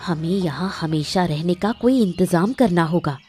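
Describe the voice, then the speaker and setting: A woman voicing an emotional, calculating village wife in a Hindi cartoon moral story, with earnest, deliberate dramatic delivery. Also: lowish voice, mellow, soft background music, close to an everyday voice. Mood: scheming.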